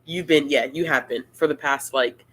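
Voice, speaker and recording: A woman hosting a casual live-stream Q&A, talking in a relaxed, rambling and playful way. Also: extremely low pitch, moderate pace, breathy edge. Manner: laid-back, rambling, playful. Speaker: booktuber host of a live Q&A.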